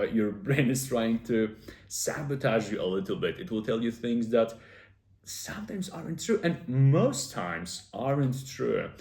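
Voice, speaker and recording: A young man giving casual, earnest self-improvement advice, explaining step by step with frequent pauses. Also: mid-range voice, a little mellow, roomy sound. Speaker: self-improvement video host. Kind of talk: casual advice talk to camera.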